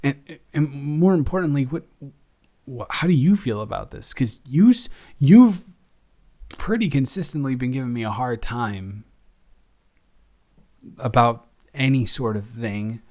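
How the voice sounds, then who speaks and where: A man plays a young male roommate in an intimate audio roleplay. He is affectionate and teasing, easily flustered, and talks in a halting, natural way, often correcting himself. His pitch sits in an ordinary male range. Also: lively intonation, a little mellow. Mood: earnest, exasperated. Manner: halting, conversational, self-correcting. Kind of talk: improvised intimate boyfriend-style audio roleplay.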